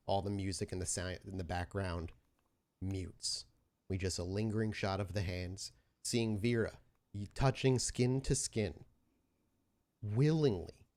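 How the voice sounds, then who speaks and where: A man narrating a tabletop game as game master in a cinematic style, with deliberate, measured pauses. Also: fairly low pitch, darkish tone. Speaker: game master of an actual-play podcast.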